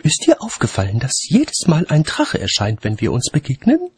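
A high, husky, well-bred lady's voice, as a male narrator puts it on. She is spirited and self-assured, speaking with emphasis. It is a storybook figure in a very dry recording. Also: huge pitch swings, normal speed, no echo, heavily acted. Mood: knowing, teasing. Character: spirited upper-class lady dragon breeder, breezily self-assured.

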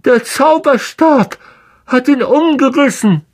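A man reading an audiobook voices a frightened young schoolboy calling out, with a high, strained voice. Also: slow pace. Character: frightened but brave young schoolboy wizard, the friend.

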